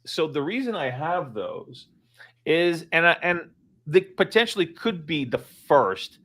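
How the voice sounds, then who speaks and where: A man's voice: fairly high pitch, big pitch swings, a little slow, a bit bright. A man chatting casually from his home office, rambling with many fillers and restarts. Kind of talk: casual live-stream chat.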